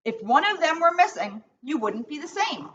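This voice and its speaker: A woman voicing a gentle zebra mother in a storybook, encouraging and patient, recorded at a distance. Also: high voice, sing-song, moderate pace, pitched above the performer's own voice. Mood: reassuring. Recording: distant mic, dull sound, faint noise.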